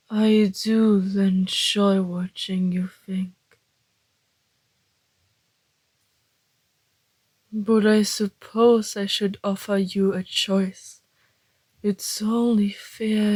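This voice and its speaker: Woman voicing a breathy, slow-talking, teasing male vampire. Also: mid-range voice, level tone, darkish tone, no echo, poor audio. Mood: predatory, fascinated. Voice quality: breathy, half-whispered. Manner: slow, deliberate, flirtatious teasing. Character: suave, teasing male vampire, predatory yet intrigued. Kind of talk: ASMR roleplay monologue.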